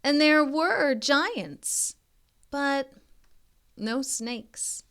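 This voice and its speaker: A woman reading a children's story aloud as a tutor, expressively and deliberately, with informal, encouraging asides to young listeners. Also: sits high, expressive, a bit bright. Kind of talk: modeled children's read-aloud with chatty asides.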